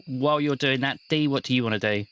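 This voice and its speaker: A man acting as game master, narrating an improvised tabletop game in a casual, halting way. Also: mid-range voice, fairly bright tone, clear, resonant tone.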